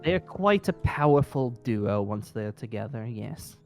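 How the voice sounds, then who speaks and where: A man's voice: fairly low pitch, lively intonation, a little slow, a little mellow, soft background music. A man playing a polite, centuries-old dragon slayer with a young body, speaking formally and wordily in a fantasy game roleplay. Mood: measured, questioning. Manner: formal, wordy, hesitant.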